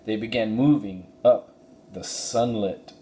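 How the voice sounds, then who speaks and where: A man giving an expressive narration of a children's storybook. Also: fairly low pitch, takes it slowly. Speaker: story reader. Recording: roomy echo, faint background noise.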